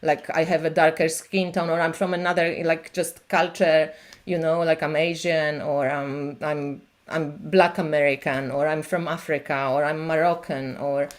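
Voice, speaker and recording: A woman who reads tarot, chatting on a live stream in an animated way with many fillers. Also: sits low, normal speed. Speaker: tarot reader and channel host. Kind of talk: casual conversation on a live stream.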